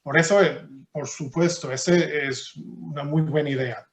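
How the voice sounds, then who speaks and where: A man's voice: fairly high pitch, steady tone, a little slow, roomy sound. A man from Portugal with a European Portuguese accent, giving a conference talk and answering questions, often repeating words as he thinks. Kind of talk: conference presentation and Q&A.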